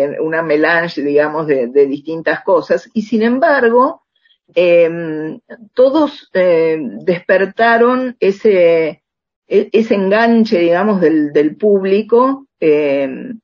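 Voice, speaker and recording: Husky Argentine woman in reflective interview. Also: mid-range voice, muffled recording. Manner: checks in with rhetorical tags. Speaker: interviewed author and radio drama researcher.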